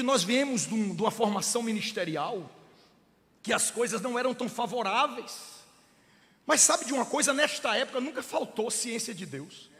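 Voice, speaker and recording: A middle-aged man from Brazil preaching a sermon. He moves between soft, chatty storytelling and loud, shouting preaching. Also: sits high. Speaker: pastor. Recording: long hall reverb.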